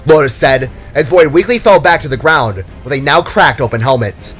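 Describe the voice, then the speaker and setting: A man's voice: mid-range voice, expressive, moderate pace, fairly bright tone. A man reading scripted narration for an action story in a dramatic storyteller's style. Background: music.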